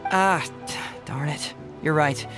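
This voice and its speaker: An actor playing a young male detective in a video game, quick-witted, easygoing and teasing. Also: a little high, over music. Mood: easygoing, playful. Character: young male detective, sharp and playfully confident. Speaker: detective character.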